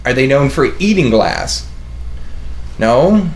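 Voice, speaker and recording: A man's voice: medium pitch, sing-song, slow. A man in a scripted comedic rant, questioning in a pointed, incredulous manner.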